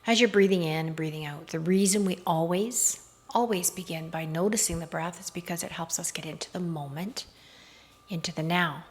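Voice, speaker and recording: A woman leading a slow guided meditation in a measured, hushed voice that often softens into breathiness, recorded outdoors. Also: fairly low pitch, slightly rough. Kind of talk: slow, soothing guided meditation with hypnotic induction. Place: outdoors in a garden. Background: faint outdoor garden ambience.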